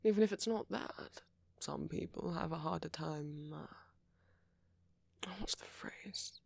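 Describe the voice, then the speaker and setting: A man playing a friendly, flirty vampire in an intimate ASMR roleplay, courteous and gently teasing, with a husky, murmuring voice close to the microphone. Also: on the high side, takes it slowly, no echo, exaggerated voice. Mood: gentle, teasing. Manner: gently teasing, polite old-fashioned phrasing, soft chuckles. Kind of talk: ASMR roleplay, intimate one-sided dialogue to the listener.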